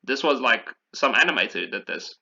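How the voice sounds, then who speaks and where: A man's voice: medium pitch, animated, fairly quick, creaky, bright, forceful tone, lo-fi sound. A man hosting a podcast, talking casually and with opinions about video games, often repeating phrases for emphasis. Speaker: podcast host.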